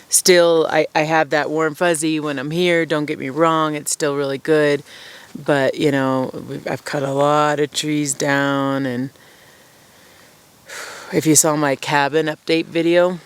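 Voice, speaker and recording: A woman with a low, smooth and resonant voice talks casually to her viewers from a forest camp. She rambles in a friendly, self-deprecating way. A mic mounted on the camera picks her up outdoors. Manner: rambling, chatty, laughs often, self-deprecating. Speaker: vlogger living at a wilderness camp.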